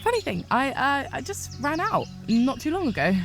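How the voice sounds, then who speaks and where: A woman's voice: sits high, sing-song, moderate pace, gravelly, music in the background, full character voice. A woman voicing an earnest young female rabbit apprentice healer in a fantasy game, polite and restrained. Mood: dry. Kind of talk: visual novel voice acting.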